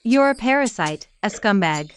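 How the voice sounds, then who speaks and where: A woman playing a dismayed, reproachful daughter of a business family in an animated comic drama. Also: medium pitch, normal speed, a little hiss or hum, lightly acted. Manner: questioning, reproachful.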